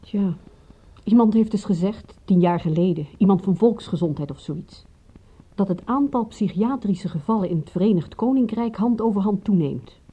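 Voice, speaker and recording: A woman playing a detective's sceptical, dry-witted companion in a radio drama, with a husky voice and a teasing, matter-of-fact manner. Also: mid-range voice, normal speed, close to an everyday voice. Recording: dull, muffled old radio recording.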